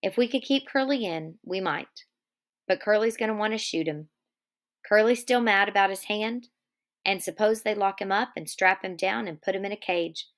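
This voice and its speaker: A woman voicing a steady, quietly firm ranch man who speaks in an even, measured way. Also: natural voice. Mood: calm. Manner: even, low-key and measured.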